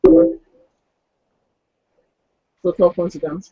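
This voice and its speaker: A woman's voice: slow, dry recording, lo-fi sound. A woman speaking casually as a player in a tabletop roleplaying game, working out numbers and rules aloud. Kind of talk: casual game chat, counting dice aloud.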